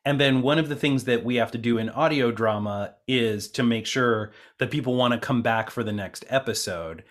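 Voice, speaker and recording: A man's voice: mid-range voice, moderate pace. A man acting as interviewer in a conversational discussion, informal and thinking aloud as he rephrases his questions. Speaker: interviewer, co-producer.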